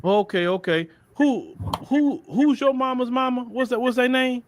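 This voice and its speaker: High-pitched man with a Texas Southern accent. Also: moderate pace, slight background noise. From Port Arthur, Texas. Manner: emphatic, repeats phrases, colloquial. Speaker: commentator. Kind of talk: animated opinion monologue, acting out mock dialogue.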